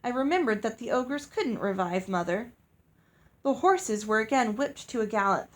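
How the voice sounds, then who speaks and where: Female audiobook reader for a children's tale, lighter higher register. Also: lively intonation, normal speed. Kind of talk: storybook narration.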